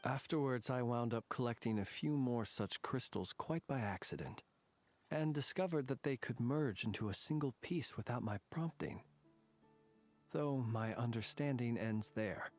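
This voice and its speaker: A world-weary soldier-scholar, voiced by a man, formal and wordy, with a dry wit. His voice sits at an ordinary middle pitch over soft game music. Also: close to an everyday voice. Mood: measured. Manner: formal, wordy and dryly wry. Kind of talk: game voice acting.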